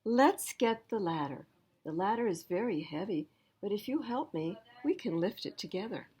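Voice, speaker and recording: A kindly old storybook grandmother, played by a woman reader, reassuring and forgiving, with a husky, expressive voice. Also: mid-range voice, moderate pace, pitched above the performer's own voice. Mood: encouraging.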